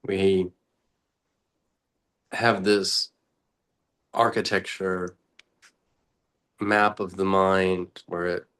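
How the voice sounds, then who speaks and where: A man with a deep voice leads a guided meditation. He speaks very slowly and with little melodic movement, offering short reflective phrases separated by long pauses. The delivery is contemplative and unscripted, as a teacher would give it. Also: little pitch movement, a bit bright, clean tone.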